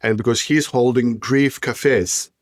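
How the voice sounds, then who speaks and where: A man's voice: medium pitch, steady tone, measured pace, dry recording, clean studio sound. A man hosting a podcast in casual chat, rambling and stumbling over his words while searching for them. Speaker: podcast host.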